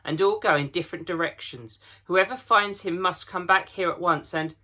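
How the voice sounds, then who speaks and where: A man's voice: high-pitched, normal speed, sharp tone, voice pushed up. A man voicing a troubled but responsible elder-brother schoolboy in a storybook reading, speaking with emphasis. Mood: decisive.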